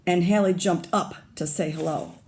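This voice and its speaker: Gravelly-voiced teacher reading aloud. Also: female voice, fairly low pitch, a little mellow. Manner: pauses to comment and ask listeners questions. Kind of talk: reading a picture book aloud to children.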